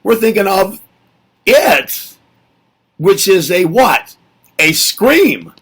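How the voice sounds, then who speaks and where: Gravelly, musing male lesson presenter. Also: sits high, big pitch swings, very slow, fairly bright tone. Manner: musing, repeats himself, asks and answers rhetorical questions. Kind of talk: reflective spoken lesson.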